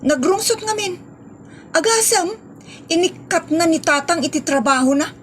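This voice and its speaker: Lady doing a feisty ilocano daughter in a comedy drama, high voice, fussy and outspoken. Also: lively intonation, normal speed, background noise. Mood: indignant.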